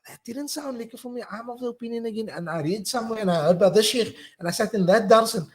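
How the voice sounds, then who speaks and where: A man's voice: high voice, quick pace. A man co-hosting a podcast, talking earnestly and reflectively and explaining his point step by step. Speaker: podcast co-host. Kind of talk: casual conversation.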